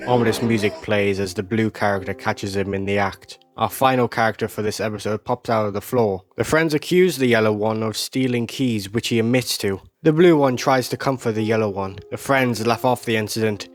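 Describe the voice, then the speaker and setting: A man's voice: lowish voice, fairly quick, hardly any pauses. A man narrating a video essay in a scripted, descriptive storytelling style. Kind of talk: scripted narration, recapping an animated series. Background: music.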